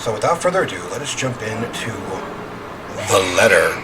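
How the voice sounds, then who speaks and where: A man's voice: on the low side, animated, moderate pace. A man hosting a horror game playthrough, chatting casually in a rambling, self-deprecating way and reading the story aloud. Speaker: gaming host playing a horror visual novel. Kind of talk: casual let's play commentary with read-aloud narration. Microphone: standalone microphone at a desk. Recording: noisy home gaming recording.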